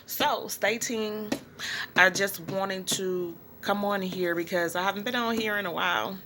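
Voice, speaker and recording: A middle-aged woman and small business owner talking casually to her viewers in a rambling way, with many fillers and pauses. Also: mid-range voice, fairly even intonation, noisy background. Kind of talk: casual vlog monologue.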